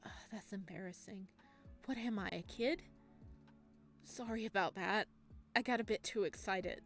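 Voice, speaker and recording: A woman's voice: mid-range voice, moderate pace, faint music behind, natural voice. A woman voicing a polite, hesitant and easily delighted traveler in a visual novel game. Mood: embarrassed.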